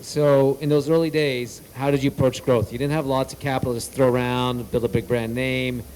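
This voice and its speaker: Canadian dude asking questions on stage, chill, faint noise behind. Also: male voice, medium pitch, flat delivery, a little fast, a little mellow, low-quality recording. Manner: casual questioning with fillers. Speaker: interviewer host. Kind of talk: fireside chat interview. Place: event stage.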